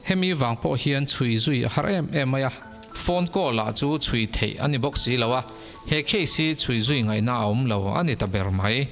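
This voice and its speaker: A man's voice: mid-range voice, a bit bright. A man who is a native Mizo speaker, narrating mystery stories in a scripted storytelling style. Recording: long reverb, music underneath. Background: background music.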